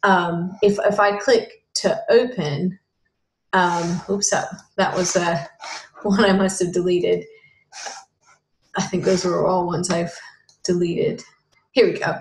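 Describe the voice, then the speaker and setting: A woman giving a casual screen-share software tutorial, talking in a halting way with many fillers and restarts. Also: fairly low pitch, level tone, slight background noise. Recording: roomy echo.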